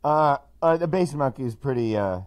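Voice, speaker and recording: A man guesting in a radio studio, jokey and playful as he talks up his band. His pitch rises and falls a lot, animated and loose. He speaks casually, as if among friends. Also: normal speed, no room sound. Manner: playful, joking, in-character.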